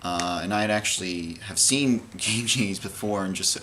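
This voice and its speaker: A man's voice: deep voice, normal speed, pushed and bright, a little hiss or hum. A man casually showing off and talking through his video game purchases, rambling with many fillers and restarts. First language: English. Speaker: video game collector vlogger. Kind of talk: casual unscripted show-and-tell.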